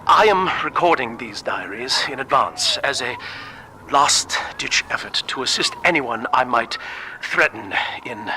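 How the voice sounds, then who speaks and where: Faint noise, grave scientist, very bright sharp voice, formal, precise, measured audio diary. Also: male voice, mid-range voice, lively intonation, exaggerated voice, low-quality recording. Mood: grave, resigned. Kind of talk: scripted game audio diary.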